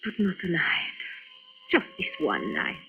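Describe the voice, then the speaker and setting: A woman's voice: deep voice, huge pitch swings, measured pace, vocal fry, phone-quality sound, full character voice. A woman acting the hero's devoted, romantic companion in a 1940s radio drama, earnest and theatrical. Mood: pleading. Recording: thin old radio with loud music.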